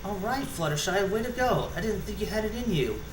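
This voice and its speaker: A man's voice: high voice, sing-song, a little fast, voice pushed up. A man voicing a brash, cocky young female cartoon pony, blunt and teasing, in a roomy, noisy recording. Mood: mocking.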